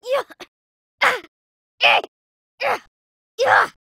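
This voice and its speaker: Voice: very high voice, huge pitch swings, extremely slow, vocal fry, bright, forceful tone, no echo, studio quality, heavily acted. An actor voicing a feisty young male anime fighter for a video game, in short exclamatory battle cries. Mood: fierce. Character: feisty young male cartoon fighter, boyish martial-arts hero.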